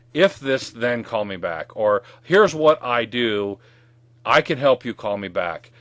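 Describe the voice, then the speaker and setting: A man's voice: mid-range voice, fairly bright tone, dry recording. A man giving an instructional sales training talk in a direct, conversational way, stressing his key points.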